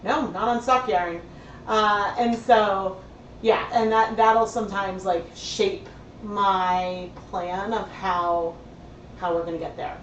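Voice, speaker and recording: A slightly hoarse woman hosting a casual livestream tutorial, chatty and thinking aloud with plenty of fillers. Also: mid-range voice, steady tone, slow, highs cut off, a little hiss or hum. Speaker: livestream host dyeing yarn. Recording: roomy sound from a distant mic.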